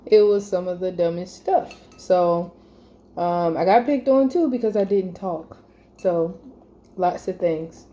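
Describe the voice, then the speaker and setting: Dark-voiced mother chatting casually. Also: fairly low pitch, a little slow. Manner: teasing, laughs often, loose casual speech. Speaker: mother interviewing her daughter. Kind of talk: casual family chat.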